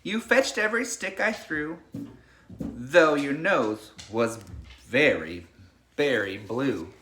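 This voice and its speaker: Animated, bright-voiced man reading to children. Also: mid-range voice, takes it slowly, a little hiss or hum. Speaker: teacher reading a picture book to a class. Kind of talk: storybook read-aloud with casual chat to children.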